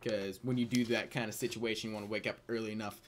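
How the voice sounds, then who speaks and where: A man's voice: fairly low pitch, level tone, fast talker, slight background noise. A young man tells personal stories in a casual, crude, joking way, amused by his own jokes as a video host. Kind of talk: casual comedic personal storytelling.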